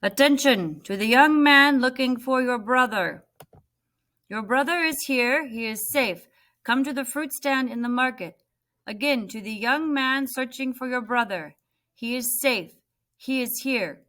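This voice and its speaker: A woman voicing a kindly, calm, helpful market man from a storybook. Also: high voice, measured pace, pitched above the performer's own voice. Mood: reassuring, announcing.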